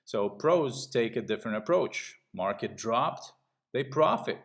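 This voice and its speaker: A middle-aged man from Latvia giving a casual sales pitch while walking through trading charts. He talks in a pushy way and keeps checking in with "okay?". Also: animated, measured pace. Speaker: trading channel host.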